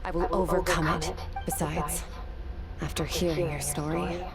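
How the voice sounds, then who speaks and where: An actress playing a stoic, resolute young warrior disciple in a video game, composed, formal and matter-of-fact. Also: female voice, lowish voice, little pitch movement, a little slow, close to an everyday voice. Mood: calm, resolute. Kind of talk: dramatic video game acting. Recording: loud music under the voice. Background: loud background music.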